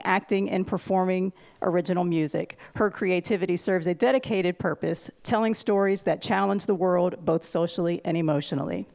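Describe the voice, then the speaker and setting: A woman's voice: medium pitch, darkish tone. A woman hosting a live table read, introducing each actor in an upbeat announcing manner. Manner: upbeat, announcing, with gravelly fry. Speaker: event host introducing the cast. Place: live venue.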